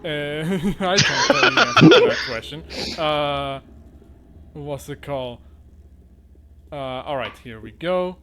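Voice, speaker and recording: A man's voice: on the high side, wildly swooping pitch, slow pace, long pauses, crowd noise. A man running a tabletop game as the dungeon master, full of fillers and laughing often. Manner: laughing, hesitant, many fillers.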